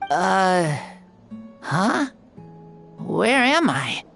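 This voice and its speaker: An actress playing a frail, shy child in an anime game, halting and bewildered. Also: female voice, medium pitch, big pitch swings, vocal fry, a bit bright, heavily acted. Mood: confused. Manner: halting, hesitant. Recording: music behind the voice.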